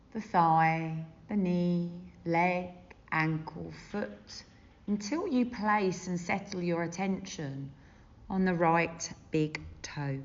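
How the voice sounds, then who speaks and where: A woman leading a guided meditation as a teacher, gentle and soothing, with unhurried phrases and long pauses. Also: lowish voice. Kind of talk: guided body scan meditation. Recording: dull, muffled lo-fi sound.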